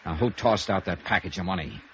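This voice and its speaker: An American actor playing a cool, wry, hard-boiled investigator in 1950s radio noir, sardonic and clipped. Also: male voice, deep voice, fairly quick, background noise, close to an everyday voice. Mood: tough. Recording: muffled vintage radio recording.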